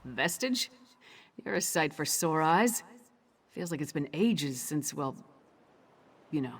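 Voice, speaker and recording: An actress voicing a bold, battle-worn warrior woman in a video game, blunt and commanding, with a husky voice. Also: low-pitched, lively intonation, slow, slight background noise, full character voice. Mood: warm, wry. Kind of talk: video game character dialogue.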